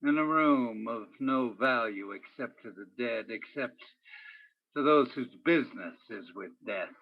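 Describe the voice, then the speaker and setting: An actor playing a world-weary police detective who narrates a radio play in a deliberate, dramatic way with heavy pauses. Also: male voice, mid-range voice, expressive, vocal fry. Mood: brooding, intense. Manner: deliberate, dramatic, poetic second-person delivery with heavy pauses. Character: world-weary, brooding male police detective named Danny. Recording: dull, lo-fi sound.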